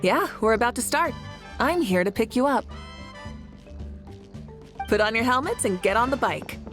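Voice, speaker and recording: A woman's voice: on the high side, expressive, normal speed, fairly bright tone, faint music behind. An actress playing a confident young woman leader in a video game, assured, teasing and rousing. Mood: easygoing. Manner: assured, teasing in banter, stately when addressing crowds.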